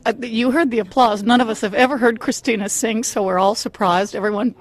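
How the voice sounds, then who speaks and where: A woman's voice: on the high side, steady tone, brisk. A woman hosting a radio podcast, moving between scripted narration and casual chat with playful asides.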